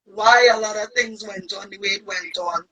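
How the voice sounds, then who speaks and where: A woman's voice: mid-range voice, normal speed, bright, forceful tone, slightly rough, poor audio. A woman with a Trinidadian accent speaking earnestly and reflectively as a guest in a casual interview. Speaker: soca singer guest.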